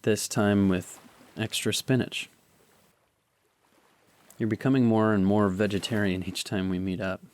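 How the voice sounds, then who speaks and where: A man's voice: lowish voice, fairly even intonation, measured pace, pauses often, smooth, natural voice. A man playing a guilt-ridden young adult confessing to his girlfriend in a hesitant, earnest radio drama monologue. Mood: guilty, regretful.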